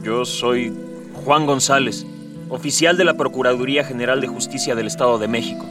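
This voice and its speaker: A man's voice: medium pitch, a little fast, lightly acted. A man playing a bewildered, indignant police officer with a Mexican accent, flustered and colloquial, in a comedic radio drama. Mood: sheepish. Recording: distant miking, music underneath.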